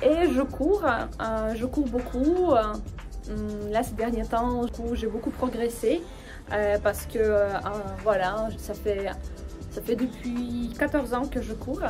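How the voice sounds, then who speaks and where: Woman, young adult, high-ish voice, hesitant casual chat, outdoors, clear background music. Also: takes it slowly. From Ukraine. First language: Russian. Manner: hesitant, many fillers and restarts, friendly. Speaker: French teacher and video creator. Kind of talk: casual personal chat to camera.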